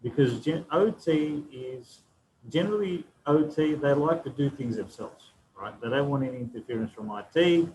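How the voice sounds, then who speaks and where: A man's voice: mid-range voice, expressive, normal speed, darkish tone, a little hiss or hum, rough recording. A man answering a panel question in a webinar, explaining step by step and often tagging sentences with "right?". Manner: explanatory, frequent tag "right?".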